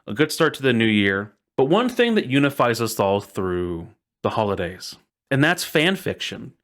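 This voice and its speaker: A man's voice: medium pitch, very animated, normal speed, fairly bright tone. A man hosting a casual podcast chat in a playful, jokingly ceremonious way. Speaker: podcast host.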